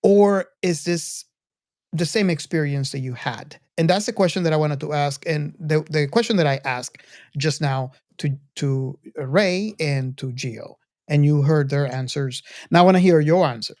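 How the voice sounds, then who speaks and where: Podcast host, casual film chat, hesitant, halting, dry close sound. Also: medium pitch, darkish tone, no echo.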